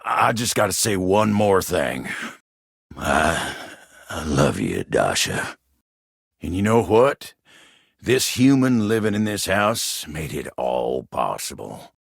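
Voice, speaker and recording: Deep husky southern cowboy, shy n lovestruck, slow tender drawl, video game character. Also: male voice, a bit bright, dry recording, studio quality, exaggerated voice. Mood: earnest, lovestruck. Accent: American Southern. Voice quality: gravelly, husky. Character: shy, earnest, lovestruck folksy cowboy-like man.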